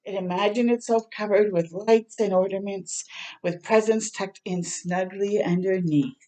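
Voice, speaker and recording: A woman reading a picture book aloud to young children in a gentle, expressive storytime manner. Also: medium pitch, unhurried, low-quality recording. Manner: gentle, expressive, prompting listeners with questions.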